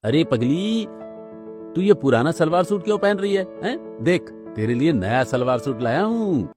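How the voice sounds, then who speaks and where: A man's voice: a little high, big pitch swings, normal speed, over music, voice pushed up. A man voicing a poor, devoted young working brother in a cartoon story, earnest, reassuring and affectionate. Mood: affectionate teasing. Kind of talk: Hindi cartoon story dialogue.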